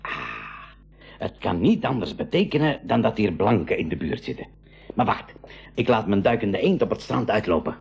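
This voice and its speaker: An actor playing an enthusiastic, emphatic professor and expedition captain in a school radio play. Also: male voice, fairly low pitch, big pitch swings, moderate pace, vocal fry, a little mellow. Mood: inquisitive. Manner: exclamatory, emphatic. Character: enthusiastic adult professor, proud captain of his craft. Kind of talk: 1980s Dutch-language school radio play acting. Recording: noisy, dull 1980s radio recording.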